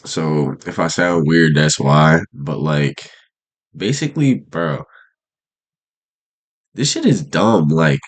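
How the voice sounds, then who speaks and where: A man's voice: extremely low pitch, lively intonation, slow, pauses often. A young man with a congested, stuffy nose, rambling in laid-back slang with lots of fillers as he talks unscripted to a camera. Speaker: vlogger. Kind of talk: unscripted casual monologue to camera. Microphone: camera mic.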